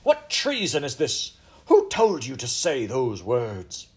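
Storybook queen, played by a man: Elizabethan, hot-tempered, commanding, pitched up and forcefully loud. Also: sing-song, moderate pace, close to an everyday voice. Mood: furious, suspicious. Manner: commanding, archaic royal speech. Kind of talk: storybook character voice.

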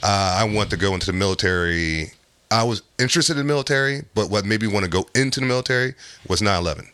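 A man's voice: fairly low pitch, animated, fast, fairly bright tone, smooth, dry recording. A man in his thirties telling a personal story in casual conversation, rambling with many restarts. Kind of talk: casual chat.

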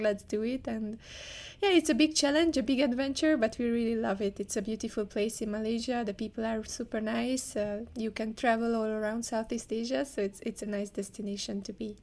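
A woman's voice: on the high side, darkish tone. A woman from Hungary with a Hungarian accent, answering conversationally as an interview guest. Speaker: interview guest, leadership coach.

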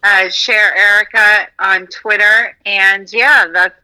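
A woman's voice: medium pitch, steady tone, slow, bright, forceful tone, clear, resonant tone, poor audio. A woman comedian chatting as a podcast guest, hesitant at times, with filler words as she talks about her shows. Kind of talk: casual podcast chat.

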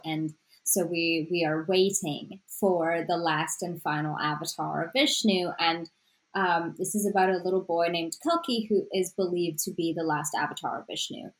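A woman's voice: fairly low pitch, low-quality recording. A woman author born in Sri Lanka, answering interview questions thoughtfully with many "you know" fillers and restarts. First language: Tamil. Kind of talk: interview conversation.

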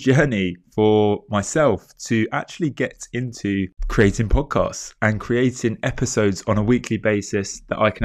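Podcast host, man, lowish voice, congested from a cold, earnest and measured. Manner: earnest, measured, repeats key points. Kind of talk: solo reflective podcast monologue.

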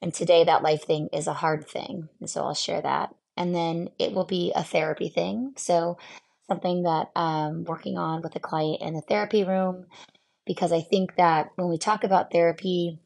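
A woman in her thirties speaks as a therapist on her own podcast, her voice low and gravelly with fry. She is losing her voice, and she talks in a halting, reflective way with plenty of pauses and fillers. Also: steady tone, darkish tone. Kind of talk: solo podcast talk.

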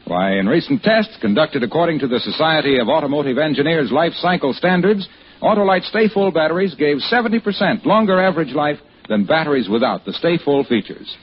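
Male vintage commercial announcer, highish and animated, emphatic folksy sell, dull radio sound with faint noise. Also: a little fast. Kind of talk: 1940s radio sales pitch. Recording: muffled, noisy old radio recording.

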